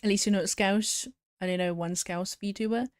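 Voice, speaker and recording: A woman's voice: mid-range voice, steady tone, moderate pace, no room sound. Giggly woman streamer, dry close sound. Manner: chatty, giggly, answering chat. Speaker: VTuber streamer. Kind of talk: casual chat with viewers while drawing.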